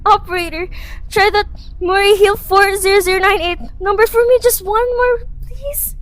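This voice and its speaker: Very high-pitched nervous, demanding wife played by a woman. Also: fairly even intonation, moderate pace, faint music behind. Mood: tearful, panicked. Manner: imperious, complaining, melodramatic. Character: nervous, demanding, self-pitying invalid wife. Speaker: student actress. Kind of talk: suspense radio drama acting.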